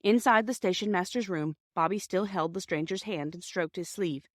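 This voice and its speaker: A woman's voice: on the low side, normal speed, no echo, studio quality. A woman narrating a children's story from a script, as an audiobook reader.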